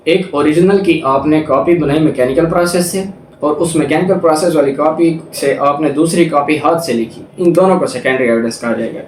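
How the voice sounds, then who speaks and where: A man's voice: a little high, darkish tone, slight background noise. A man with a Pakistani accent giving an explanatory lecture, reading out formal text and restating it step by step with examples. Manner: didactic, repeats and rephrases points with examples. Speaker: law lecturer. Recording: echoey room, distant microphone.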